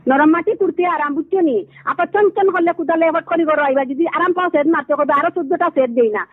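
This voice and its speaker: A woman's voice: high voice, a little fast. A middle-aged woman with a Sylheti accent, venting in an aggrieved, repetitive way during a heated family phone conversation. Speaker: caller. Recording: tinny, phone-like call recording.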